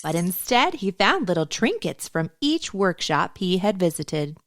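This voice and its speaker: A woman reading a picture book aloud to young children as a storytime host, in an expressive, deliberate way. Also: lowish voice, dry recording, studio quality.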